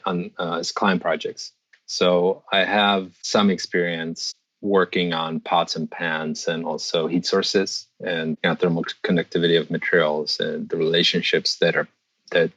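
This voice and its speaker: Man, from the Czech Republic, middle-aged, deep voice, thoughtful and restarting, dry lo-fi sound. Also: a bit bright, no echo. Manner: thoughtful, restarts often, measured. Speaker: guest, designer and metalsmith. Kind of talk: interview answers.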